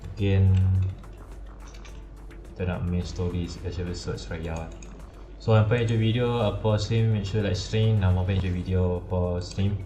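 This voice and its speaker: A man's voice: low-pitched, steady tone, normal speed. A man with a northern Malaysian accent chatting casually on a game live stream, rambling and repeating himself with English phrases mixed in. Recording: muffled, roomy mic under loud music. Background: music.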